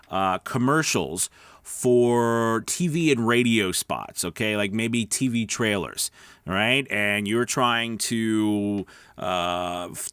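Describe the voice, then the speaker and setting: A man with a medium-pitched voice gives casual instruction as a coach and host. His delivery is conversational and emphatic, with frequent little check-ins to the listener. The sound is dry, with very little room in it. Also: fairly bright tone, smooth, no echo. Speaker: voice-over coach and video host. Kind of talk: casual instructional talk to followers.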